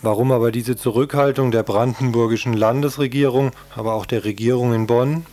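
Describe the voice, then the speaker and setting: A man's voice: medium pitch, fairly even intonation, a little fast, a little mellow. A man narrating a scripted radio news report.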